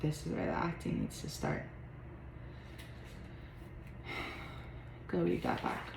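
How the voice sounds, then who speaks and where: A young woman in her twenties opening up to a therapist, anxious and guilty. Her words come out haltingly, full of little fillers, with gravel in the voice. The room adds a clear echo and a faint hiss underneath. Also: extremely low pitch, wildly swooping pitch, heavily acted. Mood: confessional. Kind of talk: intimate drama dialogue.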